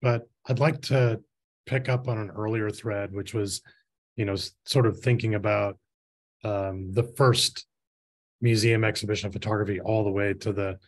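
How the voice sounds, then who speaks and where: A man in his forties moderating a discussion, speaking conversationally in halting, measured phrases. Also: on the low side, fairly even intonation. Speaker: panel moderator. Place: indoor room with bookshelves, on a video call.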